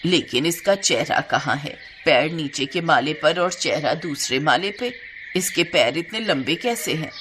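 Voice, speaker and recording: A woman's voice: low-pitched, animated, measured pace, fairly bright tone, slight background noise, voice pushed down. A woman voicing a stern, bossy older mother-in-law who scolds and grumbles in a family story. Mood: bewildered, sarcastic.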